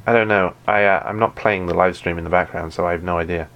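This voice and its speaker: A man with a deep voice chatting casually while playing a game live, often stumbling and repeating words. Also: steady tone, fast, muffled recording. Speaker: gaming live streamer.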